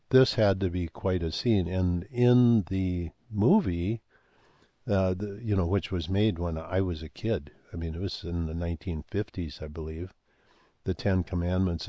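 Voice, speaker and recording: Deep, dark-voiced older man, hoarse. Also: no room sound, dull audio. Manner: halting, many fillers and restarts. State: losing his voice. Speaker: speaker on ancient history and comets. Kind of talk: rambling monologue.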